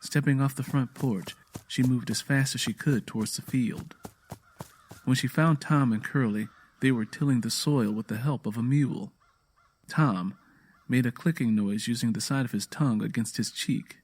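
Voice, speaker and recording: A man's voice: mid-range voice, moderate pace, a little mellow, slight background noise. A man narrating a scripted audio drama in a storytelling style.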